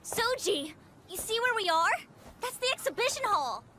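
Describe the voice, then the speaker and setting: A woman's voice: very high voice, very animated, moderate pace, pushed and bright, a little hiss or hum, full character voice. A woman playing a feisty, suspicious, hot-tempered teenage girl in an English anime dub, sarcastic and scolding. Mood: startled.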